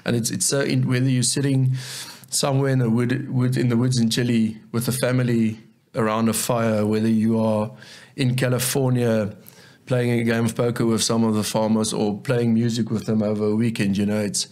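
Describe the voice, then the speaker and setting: A man's voice: medium pitch, level tone, normal speed, darkish tone. A South African man whose first language is Afrikaans, speaking earnestly as a guest in a casual interview and often repeating words as he thinks. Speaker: guest, agronomist.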